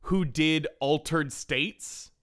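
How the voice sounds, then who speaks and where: A man's voice: on the high side, very slow, a bit bright. A man co-hosting a podcast in casual banter, with a playful, giggly, jokey manner. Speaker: podcast co-host. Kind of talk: casual banter between co-hosts.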